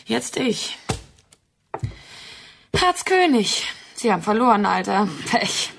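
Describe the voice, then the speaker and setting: A woman's voice: a little high, big pitch swings, takes it slowly, vocal fry, fairly bright tone, slight background noise, exaggerated voice. A woman playing a cold, commanding villain in a radio play, giving curt, taunting orders. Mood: cold, impatient. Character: cold, commanding female villain running a deadly card game.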